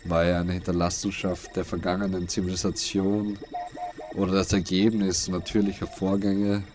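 A man reading scripted narration for an audio play in a deliberate, brooding, dramatic way, with pauses. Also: low voice, level tone. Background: music and noise loops under the voice.

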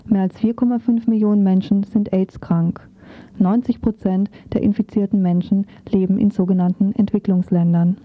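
A woman's voice: mid-range voice, steady tone, moderate pace, no room sound. A woman reading a scripted radio feature as a presenter, on a muffled, narrow recording.

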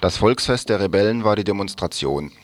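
A man's voice: on the low side, lively intonation, a little fast, dry recording. A man reading out written article excerpts as the quote reader in a radio feature.

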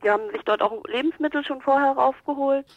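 A woman's voice: high voice, fast talker, no echo. A woman giving a spontaneous eyewitness account as an activist, hesitant and loosely phrased. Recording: dull telephone-like radio clip.

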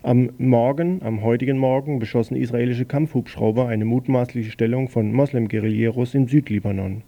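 A man reads a radio news bulletin with a rough, gravelly voice. His tone is very dark and mellow. He delivers it plainly, stumbling and correcting himself now and then. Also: medium pitch, steady tone, muffled recording. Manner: plain, slightly halting reading with self-corrections. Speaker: news reader on a local radio station.

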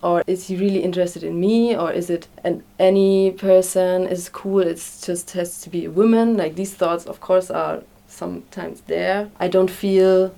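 A woman's voice: lowish voice, fairly even intonation, moderate pace, creaky, few pauses, mellow. A woman giving unscripted interview answers as an activist, hesitantly searching for her words. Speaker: refugee-support activist being interviewed.

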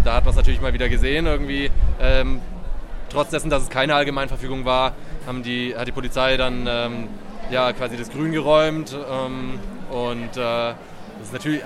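A man, an activist being interviewed outdoors at a street festival, talking casually with many fillers and restarts. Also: mid-range voice. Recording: loud crowd around.

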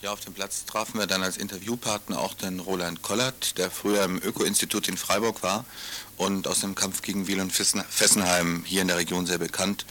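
A man narrating a radio documentary report, calmly explaining past events. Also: low voice, fairly quick.

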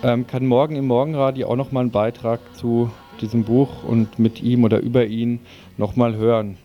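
A male radio host with a mid-range voice reads out announcements over clearly audible music. His phrasing is loose and a little halting, as if speaking without a full script. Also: mid-range voice, level tone, warm tone. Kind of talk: unscripted community radio announcements.

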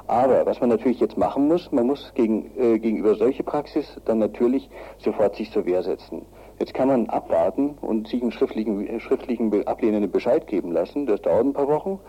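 A man with a lowish, very dark and husky voice gives spontaneous legal advice on the radio. He talks haltingly, repeating and rewording his phrases as he explains. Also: poor audio. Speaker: lawyer giving advice in a radio interview.

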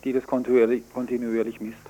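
A man's voice: medium pitch, completely flat delivery, fast talker, dark tone. A man being interviewed as an expert on radio, speaking off the cuff in a matter-of-fact, self-correcting way. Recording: dull, faintly noisy radio recording.

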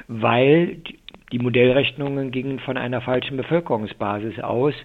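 An older man explaining things as an expert in a radio interview over the telephone, with halting delivery and many filled pauses. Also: medium pitch. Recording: muffled, thin telephone line.

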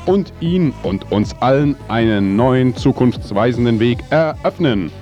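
A man's voice: mid-range voice, lively intonation, a little slow, mellow. A man narrating a scripted mock report as a radio presenter. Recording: dull lo-fi radio recording. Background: music.

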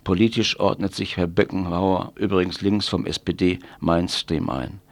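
A man's voice: low-pitched, fairly even intonation, unhurried, vocal fry, no echo. A man presenting scripted news commentary on the radio, with pointed, sardonic wording.

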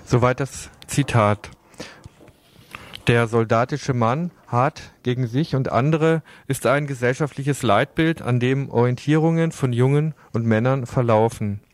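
A man's voice: mid-range voice, measured pace, dry recording. A man working as a radio host, reading scripted pieces and putting questions in an interview.

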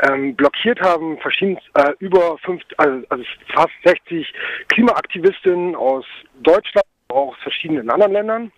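Halting, higher-pitched man on a dull phone line. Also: expressive, no echo. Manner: hesitant, halting, frequent ums. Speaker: climate camp activist being interviewed. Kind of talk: spontaneous phone interview. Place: at a climate camp, on a mobile phone. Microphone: mobile phone.

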